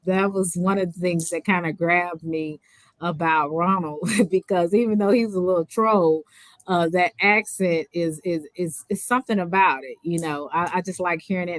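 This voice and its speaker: A woman with a low voice and a Southern accent hosts a relaxed livestream chat. She rambles, full of fillers and false starts. The sound is dry and close. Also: dry recording. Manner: chatty, many fillers and restarts. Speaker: livestream host. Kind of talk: casual live chat.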